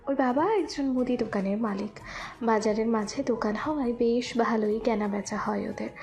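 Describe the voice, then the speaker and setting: A woman with a fairly high voice narrates a scripted romantic story. Faint music plays beneath her reading. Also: normal speed, darkish tone.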